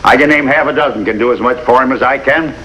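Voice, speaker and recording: An actor playing a proud, stubborn old retired surgeon in a 1950s television drama, speaking brusquely and emphatically. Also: male voice, medium pitch, fast, sharp tone, close to an everyday voice, poor audio. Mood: firm refusal. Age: older. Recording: hissy vintage television soundtrack.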